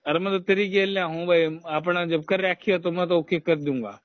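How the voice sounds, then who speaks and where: A man with a Haryanvi accent talks on a recorded phone call, his voice a little high. He sounds like an official, insistent and indirect. The sound is dry and somewhat dull. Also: fairly quick, dry recording. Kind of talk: casual phone conversation.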